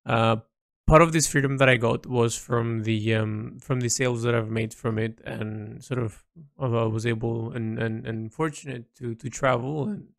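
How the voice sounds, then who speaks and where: Halting man with a creaky voice. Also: medium pitch, fairly even intonation, dry recording, clean studio sound. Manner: halting, hesitant, thinking aloud with repeats. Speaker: painter recording an audio log. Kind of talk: reflective spoken audio diary, unscripted.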